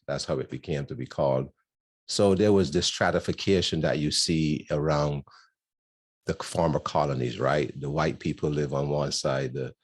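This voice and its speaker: An older man from Barbados, a guest speaker telling his life story in a rambling, storytelling way with many pauses. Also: extremely low pitch, breathy edge. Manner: warm storytelling with frequent ums and laughs. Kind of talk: personal testimony talk.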